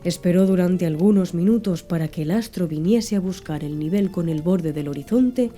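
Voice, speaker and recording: Very mellow soft-spoken woman narrator. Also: on the low side. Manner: soft, slow, hushed reading. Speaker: audiobook narrator. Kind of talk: ASMR audiobook narration. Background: faint background music.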